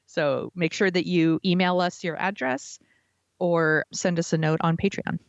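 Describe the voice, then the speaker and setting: The sound is dry and somewhat dull, a lo-fi microphone. An American woman speaks in a lowish voice as a podcast co-host. She chats casually in slangy, informal phrasing. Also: fairly even intonation, measured pace, gravelly, dry recording. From United States. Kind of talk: casual chat.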